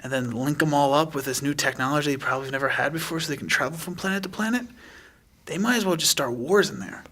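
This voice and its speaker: A man playing a calm, pragmatic leader of a ship in a tabletop game, measured and matter-of-fact. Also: fairly high pitch. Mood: firm, justifying.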